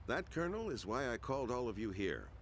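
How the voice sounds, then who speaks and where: A man acting a grave, weary middle-aged navy carrier captain in a video game cutscene, speaking in a measured, formal way. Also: medium pitch, big pitch swings, fairly bright tone, a little hiss or hum. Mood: measured, serious.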